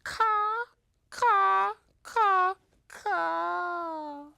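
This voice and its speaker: Woman as a very high, coy mama crow cawing. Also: drawn-out words, gravelly, bright, forceful tone, no room sound, clean studio sound, heavily acted. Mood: adoring. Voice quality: high cawing bird calls. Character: sweet, bashful female mama crow in love.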